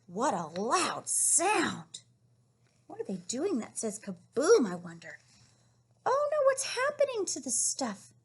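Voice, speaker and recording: A woman reading a picture book aloud to young children, encouraging and questioning them in an animated way with a husky, breathy voice. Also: high voice, takes it slowly. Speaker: read-aloud storyteller teaching young children.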